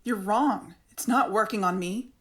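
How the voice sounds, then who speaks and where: A woman's voice: mid-range voice, unhurried, husky, no room sound. A woman playing a determined investigator in a fiction podcast drama, earnest and insistent. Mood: confrontational. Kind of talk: fiction podcast drama, confessional narration and dialogue. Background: music.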